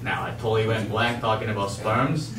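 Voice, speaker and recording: A young man from Japan performing amateur stand-up comedy on a theater stage with a handheld mic, in an emphatic, halting way that repeats phrases. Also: on the low side, steady tone, recorded from a distance, over music. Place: theater stage before a live audience. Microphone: handheld wired microphone. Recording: echoey stage mic, heard from afar.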